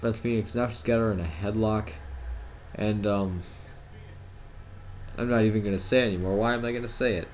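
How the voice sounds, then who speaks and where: Rambling young man, low voice. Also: steady tone, moderate pace, pauses often, darkish tone, a little hiss or hum. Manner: rambling, self-deprecating, frequent fillers and restarts. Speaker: gameplay commentator. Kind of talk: casual solo game commentary.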